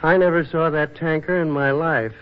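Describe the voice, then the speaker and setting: A man's voice: fairly high pitch, steady tone, normal speed, natural voice. An American actor playing a bashful, lovestruck young man in a 1940s radio drama, stammering and boyishly eager, on a muffled old recording. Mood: crestfallen, confessing.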